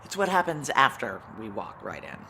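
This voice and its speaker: An actress playing a gruff, weary ex-military female security chief in an audio drama, speaking in a clipped, dry, commanding way. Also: a bass voice, very animated, normal speed, background noise, heavily acted. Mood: dry, brisk. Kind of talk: naturalistic audio drama acting with noir narration.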